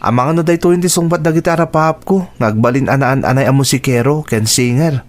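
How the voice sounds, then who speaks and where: A man's voice: mid-range voice, fast, natural voice. A man playing a respectful, earnest young son with musical ambitions in a radio drama. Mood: earnest, hopeful. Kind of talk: Ilocano radio drama acting.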